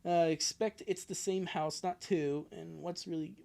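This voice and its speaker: A man's voice: fairly high pitch, fairly even intonation, measured pace, gravelly, darkish tone. A man hosting a livestream, giving casual, chatty commentary with a joking, sarcastic manner. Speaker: livestream host. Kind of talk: casual livestream commentary on a fan comic reading.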